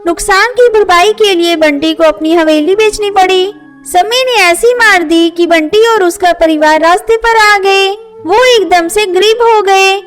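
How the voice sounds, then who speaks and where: A woman's voice: very high voice, measured pace. A woman narrating a scripted children's story. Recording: faint music under voice. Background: faint music.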